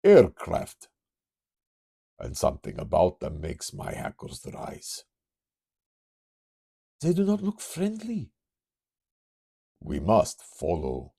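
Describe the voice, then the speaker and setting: A man's voice: deep voice, wildly swooping pitch, takes it slowly, gravelly, pauses often, warm tone, husky, exaggerated voice. A man voicing a grave, commanding male hero with a put-on German-Russian accent in a comic book reading. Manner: solemn, formal, stately.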